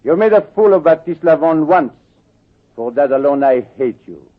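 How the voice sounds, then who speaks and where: A man's voice: fairly high pitch, animated, normal speed, narrow, tinny audio. A suave thief, silky and coldly menacing, swinging his voice up and down. The sound is a thin old radio broadcast. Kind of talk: 1940s radio acting.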